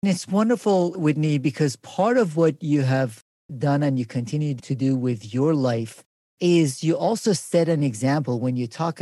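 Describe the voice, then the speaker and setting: A man's voice: medium pitch, mellow, dry recording. A man hosting a podcast interview, asking long, appreciative questions. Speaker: podcast host.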